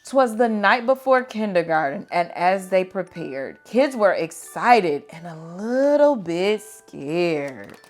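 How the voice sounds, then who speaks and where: A woman reads a picture book aloud as a teacher would to young children. Her delivery is slow and deliberate, with dramatic expression and a medium pitch. Faint music plays behind her. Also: sing-song.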